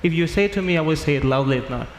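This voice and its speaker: Male panel moderator, slightly higher voice, casual and joking, echoey hall. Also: lively intonation, very fast. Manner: informal, joking with the audience. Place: large conference hall. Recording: echoey hall with faint noise.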